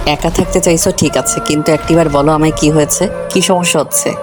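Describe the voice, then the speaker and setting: A woman voicing a gentle, worried young husband, soft-spoken and pleading, in a romantic audio story. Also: sits low, fairly even intonation, fairly quick, over music, pitched below the performer's own voice, natural voice.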